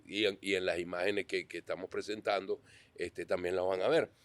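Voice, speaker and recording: Male podcast host, lower voice, dry studio sound, earnest with hesitant restarts. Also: level tone, brisk, no echo. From Venezuela. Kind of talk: interview questions.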